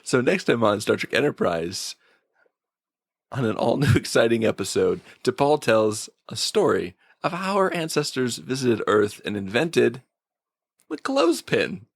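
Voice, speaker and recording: Male podcast co-host, casual and joking, lively pitch movement, creaky edge, dry close sound. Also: a little slow, no echo. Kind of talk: casual TV episode review chat.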